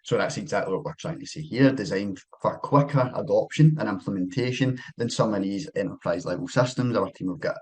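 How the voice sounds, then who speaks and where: A man's voice: fairly low pitch, animated, brisk, hardly any pauses, darkish tone, breathy edge. A man with a Scottish accent, an account manager giving a webinar presentation in a loose, conversational way with many fillers and restarts. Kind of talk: casual presentation.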